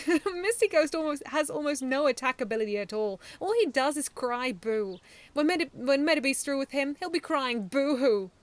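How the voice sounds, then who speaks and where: A woman's voice: a little high, moderate pace, hardly any pauses, a bit bright, dry recording. A woman voicing a cocky young boy who talks cheekily. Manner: cheeky and confident.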